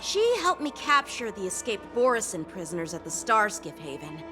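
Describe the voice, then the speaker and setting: A woman's voice: medium pitch, big pitch swings, normal speed, music in the background, natural voice. An actress voicing a polite, courteous young female officer. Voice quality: polite, formal. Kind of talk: video game voice acting.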